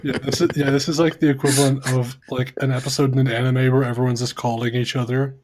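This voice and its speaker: A man's voice: medium pitch, flat delivery, fast, noisy background. A man making casual chat as a player in a tabletop roleplaying game.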